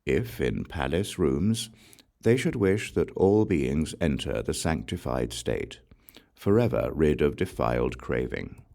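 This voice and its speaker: A man reading scripture as a scripted audiobook, in a measured, solemn recitation with deliberate pauses between phrases. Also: rumbling low, expressive, gravelly, dark tone. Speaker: audiobook reader.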